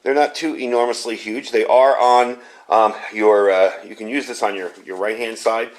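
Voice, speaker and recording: A man's voice: on the low side, steady tone, moderate pace, rough recording. A man giving a casual hands-on gear review indoors, explaining things in a rambling, informal way. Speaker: product reviewer. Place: indoors at home.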